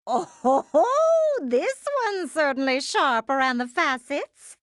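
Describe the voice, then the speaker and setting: A woman voicing a vain, gushing gem queen villain in an animated game, theatrical and condescending. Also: high voice, a little slow, dry recording, studio quality. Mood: amused, mocking.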